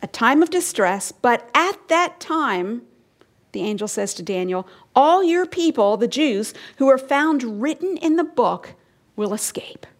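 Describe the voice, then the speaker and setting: Emphatic preacher woman, fairly high voice. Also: expressive, measured pace. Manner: emphatic, rising and falling, drops to hushed lines; explains and asks the listeners questions. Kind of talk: expository sermon.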